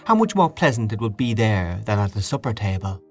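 A man with a lowish voice reads literary prose aloud. His reading is measured and expressive. Soft music plays beneath him. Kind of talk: reading a short story aloud.

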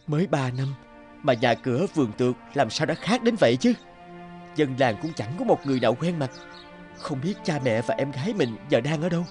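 A man's voice: medium pitch, very animated, fairly quick, darkish tone, soft background music, close to an everyday voice. A man voicing a homesick young scholar in a cartoon, speaking in a courtly, archaic way. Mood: bewildered. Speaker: voice actor. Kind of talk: cartoon dubbing.

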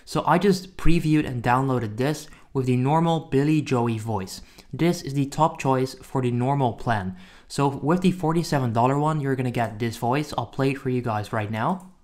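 Casual man hosting a software walkthrough. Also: mid-range voice, moderate pace. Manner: informal, addresses viewers as guys. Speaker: software reviewer and tutorial host. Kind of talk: casual screen-recording walkthrough.

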